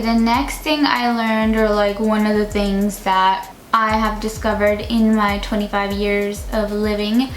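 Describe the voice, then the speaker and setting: A woman's voice: medium pitch, fairly bright tone. Chatty young woman in an echoey room. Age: 20s. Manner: chatty, uses "like" often. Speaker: beauty vlogger. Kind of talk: casual makeup tutorial chat. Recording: echoey room with music behind.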